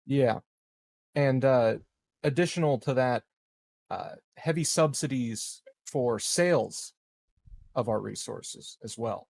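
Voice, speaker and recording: Slow, gravelly-voiced man with halting phrasing. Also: medium pitch, darkish tone, no room sound. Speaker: tabletop game player. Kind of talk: casual in-game discussion.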